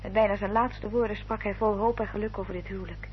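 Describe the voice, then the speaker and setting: A woman playing a resolute, guarded Victorian gentlewoman in a Dutch radio drama, speaking in a measured, formal, articulate way. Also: medium pitch, steady tone, lightly acted. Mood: earnest, firm. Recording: dull old radio recording, hum and noise.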